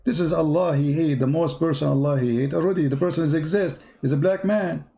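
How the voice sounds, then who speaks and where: Man, insistent, lecturing, repetitive, slightly high voice, mellow tone. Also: brisk, gravelly. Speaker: host. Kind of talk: heated call-in debate.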